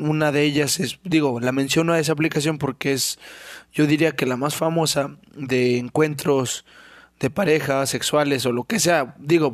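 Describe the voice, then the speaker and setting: A young Mexican man rambles through a solo podcast with a sore, hoarse throat, clearing it again and again. His voice sits a little high for a man, and he curses casually. The sound is dry and close, with no room around it. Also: no room sound. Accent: Mexican Spanish. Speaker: podcast host.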